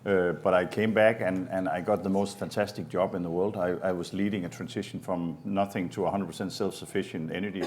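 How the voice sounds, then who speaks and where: A man's voice: sits low, fairly even intonation, a little fast, hardly any pauses, darkish tone. A man from Denmark introducing himself on a panel, enthusiastic and often restarting his sentences. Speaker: energy academy director.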